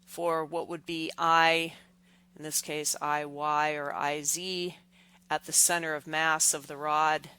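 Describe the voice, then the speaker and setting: A woman explaining as an engineering instructor, step by step, in deliberate, halting phrases with repeated words. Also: low-pitched, little pitch movement, bright, forceful tone, smooth.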